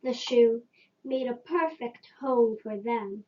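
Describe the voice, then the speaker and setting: A woman's voice: a little high, slow, dark tone, muffled recording. A woman reading a children's story aloud as a storyteller.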